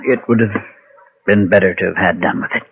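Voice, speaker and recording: An actor playing a weary, fearful former preacher, grave and resigned, with formal diction, in an old-time radio drama. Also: male voice, sits low, fairly even intonation, fairly quick, gravelly. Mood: weary resignation. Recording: dull, noisy vintage radio recording.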